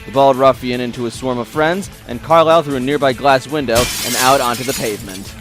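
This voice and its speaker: A man's voice: medium pitch, fairly quick, over music. A man reading scripted story narration in a theatrical, wordy storytelling manner.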